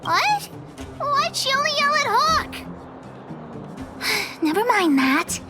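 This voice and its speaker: A woman's voice: extremely high pitch, big pitch swings, slow pace, heavily acted. A woman playing a bossy, bold little girl gang leader in a video game, self-important and speaking of herself in the third person. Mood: bossy, proud. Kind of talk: video game character acting. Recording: game music behind the voice.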